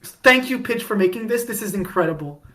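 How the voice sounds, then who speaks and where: A woman chatting as a podcast guest, rambling with many restarts and sudden bursts of excitement. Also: medium pitch, brisk. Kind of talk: casual podcast chat.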